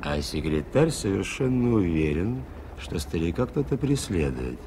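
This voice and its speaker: An actor playing an earnest, skeptical aviator in a radio play, with a gravelly voice and a measured, formal, dryly ironic manner. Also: male voice, low-pitched, very animated, warm tone. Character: earnest, skeptical gentleman aviator recounting a mystery. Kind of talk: Soviet radio play acting. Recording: faintly noisy 1980s radio recording.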